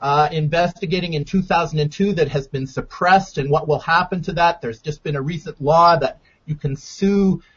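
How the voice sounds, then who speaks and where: A man hosting a radio interview, introducing his guest and topics in a measured, deliberate way. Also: a little high, a bit bright, husky. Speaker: radio show host. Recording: dull lo-fi sound.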